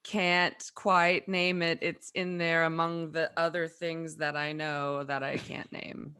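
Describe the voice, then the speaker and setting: A woman with a somewhat low but bright voice co-hosts a chat show. She banters casually, with a wry, teasing manner and playfully wordy turns of phrase. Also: little pitch movement, takes it slowly, smooth. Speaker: talk show co-host.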